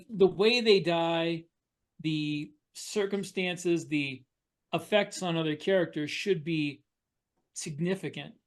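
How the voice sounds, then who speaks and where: A man with a somewhat high voice speaks as a podcast co-host in a relaxed panel chat. He deliberates earnestly as he makes his points. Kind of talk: casual panel discussion.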